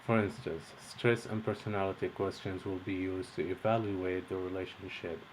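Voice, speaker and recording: Young male instructor from Egypt, deep voice, measured scripted read with faint background noise. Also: steady tone, low-quality recording. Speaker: course instructor. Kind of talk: read-out lesson introduction.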